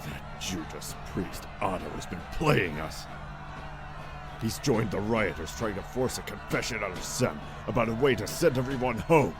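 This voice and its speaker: A man playing a combative, gruff security commander with a forceful manner in an audiobook. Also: on the low side, lively intonation, normal speed, crowd noise, close to an everyday voice. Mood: angry, bitter. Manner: forceful, barking orders. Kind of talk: audiobook character acting. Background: prominent music with outdoor ambience.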